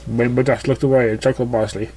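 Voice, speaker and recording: A narrator reads a scripted piece of fan fiction aloud. The voice sits at an in-between pitch as it tells the story. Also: flat delivery, quick pace, warm tone, slightly rough.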